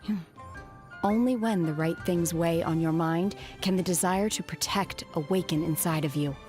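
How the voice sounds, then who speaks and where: A woman's voice: fairly low pitch, soft background music, natural voice. A woman playing a solemn, aloof young exorcist raised by immortals, speaking formally and earnestly as a video game character. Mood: calm, reserved. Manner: measured, stoic, formal, softly earnest.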